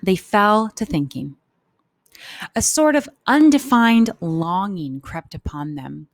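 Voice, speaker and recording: A woman's voice: mid-range voice, big pitch swings, slow pace, dry recording, studio quality. A woman teacher reading a story aloud for children and explaining it informally as she goes. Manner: informal, explanatory, acts out thoughts.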